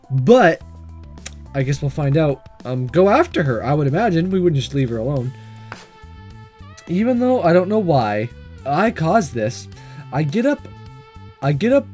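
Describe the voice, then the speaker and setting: Animated game narrator reading a story aloud. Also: mid-range voice, measured pace. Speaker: let's player reading a visual novel aloud. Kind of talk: narrating and voicing a game with casual reactions. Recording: music under the voice. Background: game music.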